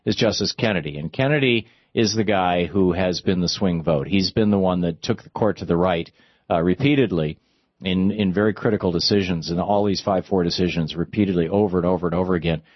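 A male talk radio host with a lowish voice, speaking into a studio microphone. He talks through arguments at length and often restarts his sentences. The sound is very dry and slightly dull. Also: fairly quick, no room sound. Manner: discursive, argumentative, stops and restarts mid-sentence.

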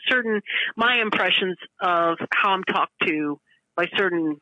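A woman of fifty-five calling in to a show, speaking earnestly and candidly in halting, restarted sentences. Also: fairly low pitch, sharp tone, dry recording, muffled recording. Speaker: regular caller to a show. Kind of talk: candid emotional conversation.

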